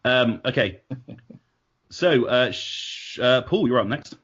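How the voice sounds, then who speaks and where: A man's voice: mid-range voice, animated, slow pace, a bit bright, slightly rough, highs cut off. A man hosting a podcast with casual, blunt, sweary and jokey banter. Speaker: podcast host.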